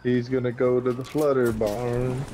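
Male gamer, mid-pitched, slangy teasing banter, slightly noisy voice-chat audio. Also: little pitch movement, a little slow. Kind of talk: casual game chat and banter.

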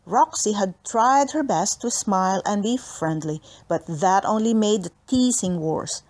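A woman reading a children's book aloud as an expressive storyteller. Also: mid-range voice, measured pace. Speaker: audiobook reader.

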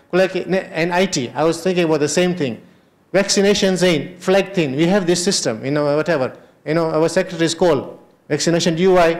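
A middle-aged man with a Kenyan Memon accent moderating a panel in a hotel conference hall, talking into a handheld mic and mixing Kutchi phrases into his English. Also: high voice. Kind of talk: unscripted panel discussion.

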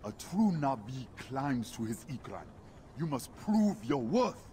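A man's voice: mid-range voice, big pitch swings, slow pace, mellow, a little hiss or hum. An actor playing a proud, hostile male alien warrior who scorns humans, speaking in a stern, commanding game voice. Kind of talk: video game voice acting.

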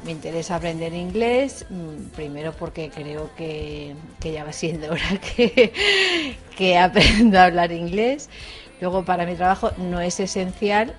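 A woman's voice: fairly low pitch, a little slow, soft background music. A woman answering casting interview questions in a chatty, self-deprecating way, speaking into a handheld mic in an office hallway. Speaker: casting applicant.

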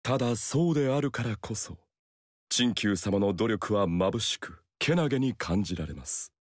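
Humble, polite game retainer; lowish, formal, dry studio. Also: male voice, lively intonation, normal speed, dry recording. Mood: courteous. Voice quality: courteous, formal. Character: polite loyal male retainer, courteous and humble. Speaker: game character. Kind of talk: game dialogue.

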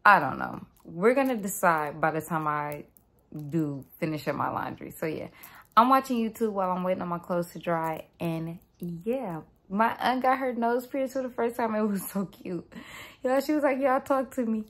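A woman's voice: mid-range voice, animated, normal speed. Chatty young woman vlogging at home. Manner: rambling, upbeat, speaks blessings over viewers. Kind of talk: casual vlog chat to camera. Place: home room, indoors.

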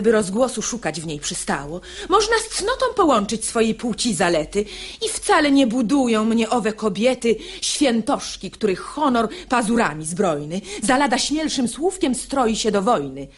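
Lively, husky, shrewd wife. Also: female voice, on the high side, moderate pace. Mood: indignant, ironic. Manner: theatrical, articulate, ironic. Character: poised, shrewd, exasperated wife of the master. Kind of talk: classic verse comedy radio play.

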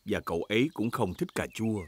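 A man's voice: lowish voice, brisk, slightly rough. A man narrating a children's cartoon in scripted storytelling style.

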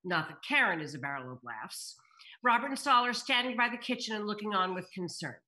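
A woman's voice: mid-range voice, animated, brisk, bright, forceful tone. An older woman reading scripted stage directions aloud over a video call. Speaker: table-read narrator reading stage directions. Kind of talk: scripted narration read aloud. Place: room at home.

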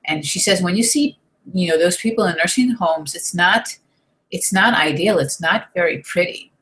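A woman's voice: fairly low pitch, normal speed, a bit bright, poor audio. A woman in her thirties, from the United States, giving an earnest, encouraging talk to her webcam at home, often restarting her sentences. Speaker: online marketing vlogger. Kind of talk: motivational talk to camera. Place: home room with desk and shelves, indoors. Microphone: webcam at desk.

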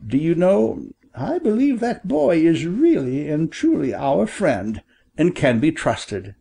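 Man voicing an animated, high-pitched father quail. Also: slow pace, gravelly, slightly rough, heavily acted. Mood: earnest explaining. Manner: earnest, explanatory. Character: reassuring, confident father quail in a storybook.

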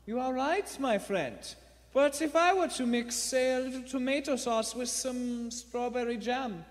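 A man's voice: very high voice, measured pace. A man playing a kindly, sly old shopkeeper with courteous, wordy speech in a live radio play. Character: kindly old bird-shop keeper, secretly a bomb maker. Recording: echoey theater hall.

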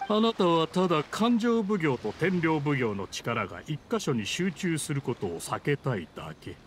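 A man's voice: on the high side, lively intonation, normal speed, close to an everyday voice. A man playing a scheming, easily riled official in a Japanese anime game, formal and pompous with a defensive, indignant manner. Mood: defiant, smug. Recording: game dialogue over ambient scene noise. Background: game scene ambience.

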